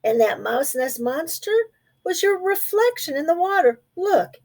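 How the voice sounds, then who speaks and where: Woman reading as gentle, slow-talking grown-up mouse. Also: sits high, animated, voice pushed up. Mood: reassuring. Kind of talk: children's storybook character voice.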